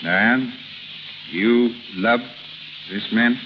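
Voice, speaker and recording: A middle-aged man acting in a 1930s radio drama as a proud, wounded husband with formal, halting speech. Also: medium pitch. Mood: hurt, tense. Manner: formal, halting under emotion. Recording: noisy, sizzling 1930s radio recording.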